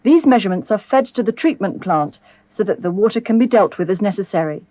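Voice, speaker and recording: A woman's voice: mid-range voice, lively intonation, fast talker, mellow, dry recording. British woman narrating, dry close recording. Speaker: documentary narrator. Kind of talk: scripted educational film narration.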